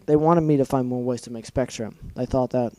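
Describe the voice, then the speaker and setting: A man's voice: medium pitch, steady tone, fairly quick, mellow, dry recording, natural voice. A man voicing a cruel, bitter female pegasus villain who screams accusations in a fan story reading. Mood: furious.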